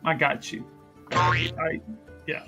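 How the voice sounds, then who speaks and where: A man's voice: a little high, little pitch movement, slow pace, bright, forceful tone. A man playing a tabletop roleplaying game, chatting in a casual, joking way in and out of character. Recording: music behind the voice.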